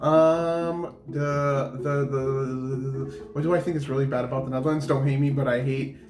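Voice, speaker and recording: A man's voice: medium pitch, flat delivery, normal speed, a little mellow, soft background music. A man in his late twenties, joking and stumbling over words as co-host of a couple's Q&A vlog. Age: 20s. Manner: joking, hesitant, repeats words when stuck. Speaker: co-host, partner. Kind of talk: casual Q&A chat. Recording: echoey room.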